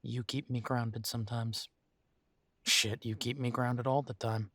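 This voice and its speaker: Werewolf boyfriend for audio drama, male actor: mid-pitched, unhurried and halting, devoted yet weighed down by family estrangement, very dry sound. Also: little pitch movement, fairly bright tone, no room sound. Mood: tender, grateful. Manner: halting, sighing, tender. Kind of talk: intimate roleplay audio drama.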